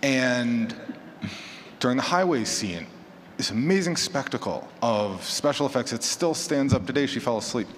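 A man's voice: mid-range voice, animated, normal speed. A man speaking informally on a conference panel about his craft, conversational, posing rhetorical questions and voicing imagined quotes. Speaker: panelist, fiction podcaster. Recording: reverberant hall, clear background noise.

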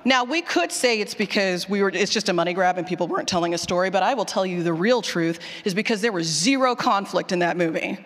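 A woman's voice: mid-range voice, expressive, fast talker, hardly any pauses, fairly bright tone. A woman giving an informal, emphatic talk to an audience, colloquial and a little sweary, often putting questions to the room. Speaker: speaker leading a workshop on audio drama writing. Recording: long hall reverb from a large room.

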